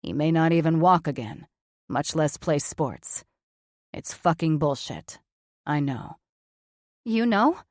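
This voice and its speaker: A woman's voice: sits low, expressive, slow pace, long pauses, a little mellow, no echo, studio quality, pitched below the performer's own voice, full character voice. A woman doing a blunt, foul-mouthed male surgeon. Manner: profane, blunt, matter-of-fact. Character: blunt cocky male surgeon, adult man.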